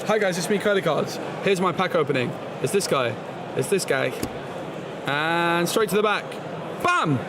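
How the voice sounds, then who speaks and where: A man's voice: on the high side, expressive, moderate pace. A man hosting a casual live card pack-opening stream at a busy gaming convention, playful and jokey. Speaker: streamer and card channel host. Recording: loud crowd noise of a busy event.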